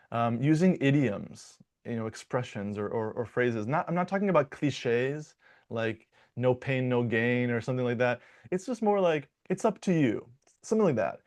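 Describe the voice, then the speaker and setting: A young American man from Michigan, a dialect coach, answering interview questions in a relaxed, playful way with frequent restarts and fillers. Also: medium pitch. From Michigan, United States.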